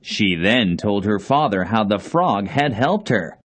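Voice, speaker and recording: A man's voice: lowish voice, very animated, a little slow. A man narrating a children's fairy tale as a scripted storybook reading.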